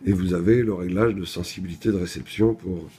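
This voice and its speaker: A man with a low, mellow voice walks through a piece of equipment. He explains each step methodically, as in an informal product demo. There is faint noise behind him. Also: steady tone, a little fast. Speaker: product presenter. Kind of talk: unscripted technical product demonstration.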